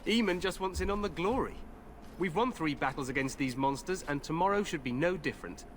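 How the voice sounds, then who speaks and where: A man's voice: a little high, lively intonation, brisk, a little hiss or hum, natural voice. An actor playing a cheerful, overconfident young human king, speaking in a hearty, regal way.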